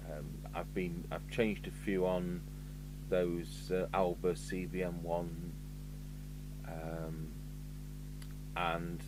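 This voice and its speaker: A man with an English accent giving a casual, rambling workbench commentary as he thinks aloud, like a radio repair technician. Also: deep voice. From United Kingdom. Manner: rambling, musing aloud to himself. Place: indoor electronics repair workbench. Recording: clear background noise with electrical hum.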